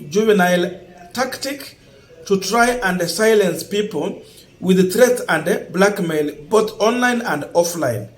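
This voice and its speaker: A Kenyan man hosting online political commentary, speaking emphatically and deliberately and repeating points for stress. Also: high voice. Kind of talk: political news commentary to camera.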